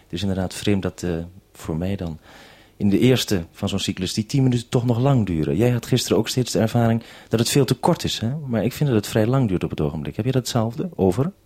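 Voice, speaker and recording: A male radio presenter with a fairly low voice leads a live interview. He talks in a measured, orderly way, putting probing questions without a script. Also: animated, mellow, breathy edge.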